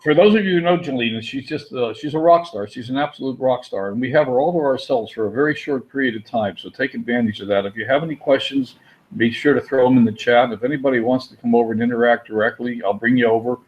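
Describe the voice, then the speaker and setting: A man's voice: mid-range voice, fast, darkish tone. Enthusiastic male webinar host. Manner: enthusiastic, repeats words, many "uh" restarts. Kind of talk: casual webinar hosting. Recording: muffled lo-fi audio.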